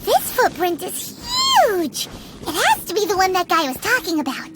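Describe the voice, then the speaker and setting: A woman voicing a tiny, perky, cheeky childlike companion in a video game, chirpy and excitable. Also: very high voice, normal speed, very noisy. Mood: excited. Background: game music.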